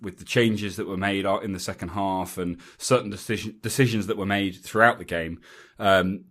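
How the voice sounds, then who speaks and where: An English man hosting a rugby podcast, chatting casually and rambling with frequent restarts and ums. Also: fairly low pitch. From England. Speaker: podcast host. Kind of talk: casual chat.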